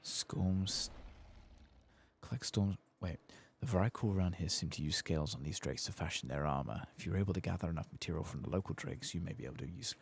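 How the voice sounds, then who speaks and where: Deep, gravelly, soft-spoken gaming man. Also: a little fast. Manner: murmuring to himself while playing, low-key. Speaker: game streamer, host of an ASMR playthrough. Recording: keyboard and mouse clicks behind voice.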